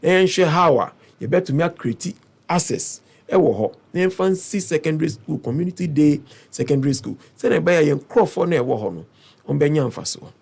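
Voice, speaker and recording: Hoarse Ghanaian man, gravelly and husky. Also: on the high side, moderate pace. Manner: earnest, persuasive, steady explaining. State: hoarse, lost his voice. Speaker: parliamentary aspirant guest. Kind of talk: interview answers mixing Twi and English.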